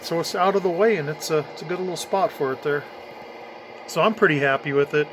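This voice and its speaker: A man's voice: fairly high pitch, a little fast. A man giving a casual, plainspoken walkthrough of his own do-it-yourself work, informally pointing things out as he goes. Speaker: DIY hobbyist showing his own install. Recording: noticeable ambient background noise.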